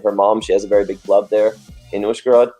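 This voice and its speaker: A male dancer chatting casually in an interview, telling animated stories and laughing often. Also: fairly low pitch, quick pace, soft background music.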